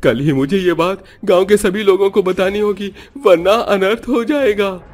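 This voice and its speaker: A man's voice: high-pitched, moderate pace, a little mellow, pitched above the performer's own voice, natural voice. A man voicing an agitated, indignant older village man in a cartoon story, exclaiming in an aggrieved way. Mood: alarmed.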